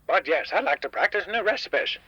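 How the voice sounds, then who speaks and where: A man playing a crotchety but warm-hearted old diner owner with a grumpy, folksy way of talking, in a post-apocalyptic comedy radio drama. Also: sits high, expressive, brisk, dull audio, exaggerated voice. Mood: cantankerous. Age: older. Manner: grumpy, folksy, hesitant phrasing. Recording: roomy, band-limited radio sound.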